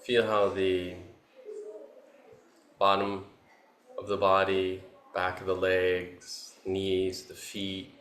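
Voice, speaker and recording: A man teaching a guided meditation, giving gentle, unhurried instructions in short phrases with long pauses between them. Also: sits low, monotone. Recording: roomy echo, faint background noise.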